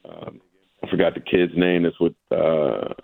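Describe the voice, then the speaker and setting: A man talking basketball casually as a sportswriter guest, haltingly, repeating words and tagging his points with "right?". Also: sits low, sing-song, creaky, a little mellow, poor audio.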